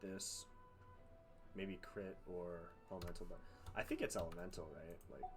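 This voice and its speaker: A man's voice: sits low, fairly even intonation, moderate pace. A man doing casual live game commentary, thinking aloud and swearing freely. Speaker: game streamer. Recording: music behind the voice. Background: game music.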